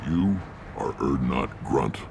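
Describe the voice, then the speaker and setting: Cavernous, gravelly alien clan chief. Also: male voice, a bass voice, expressive, slow pace, background noise, heavily acted. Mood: wry, approving. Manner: wry, authoritative. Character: gruff, wry, commanding alien Krogan clan chief. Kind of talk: video game acting.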